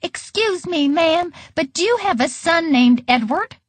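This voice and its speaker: A woman's voice: extremely high pitch, expressive, unhurried, no room sound, pitched above the performer's own voice. A woman voicing a worried, insistent cafe waitress in a storybook, speaking up urgently. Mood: polite concern. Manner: urgent, raising her voice back at customer.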